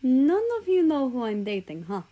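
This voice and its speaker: A woman's voice: high-pitched, very animated, moderate pace, mellow, pitched above the performer's own voice. A woman voicing an earnest, rambling teenage boy who confides hesitantly in his friends in a story. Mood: nervous, confessing.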